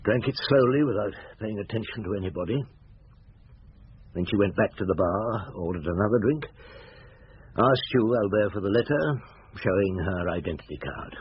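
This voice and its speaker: An actor playing a patient, shrewd, compassionate police inspector in a radio drama, speaking in a measured, quietly probing way. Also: male voice, fairly low pitch, lively intonation, husky. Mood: grave, measured. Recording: muffled, noisy old radio broadcast.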